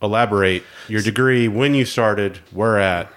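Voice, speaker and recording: A man's voice: lowish voice, moderate pace, fairly bright tone. A man, sounding nasal from allergies, chatting and asking questions as a podcast co-host. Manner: chatty, inquisitive. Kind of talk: casual podcast chat.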